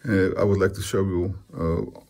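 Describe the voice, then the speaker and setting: Deep-voiced man, halting product presenter. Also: level tone, darkish tone. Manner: halting, searching for words. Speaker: loudspeaker designer presenting own products.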